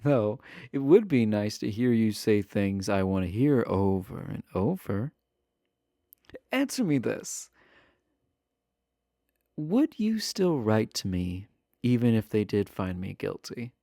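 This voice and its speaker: Man playing a slow, low-voiced, teasing villain prisoner. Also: big pitch swings, vocal fry, dark tone, no room sound, studio quality, full character voice. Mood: flirty, teasing. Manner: coy, flirtatious, drawn-out casual delivery. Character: charming, teasing male villain prisoner, adorkable and sly. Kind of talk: intimate roleplay audio acting.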